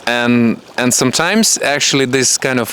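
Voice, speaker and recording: A man whose first language is Russian and Ukrainian, a guest chatting animatedly in English and sometimes jumping up in pitch. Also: moderate pace, a little hiss or hum. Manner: animated, acts out voices and jumps up in pitch. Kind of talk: casual conversation.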